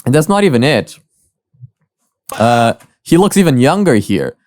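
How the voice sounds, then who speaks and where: A man hosts a reaction video in a casual, halting way. His voice is clear and sits at a middle pitch. The recording is dry, with very little room sound. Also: very animated, darkish tone, dry recording. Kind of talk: casual commentary on a cartoon parody.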